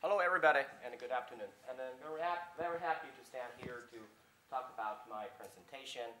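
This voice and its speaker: Young male conference presenter: emphatic and animated, bright tone, echoey hall. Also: medium pitch, quick pace, slight background noise. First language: Chinese. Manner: animated, emphatic, repeats key figures. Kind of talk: business presentation.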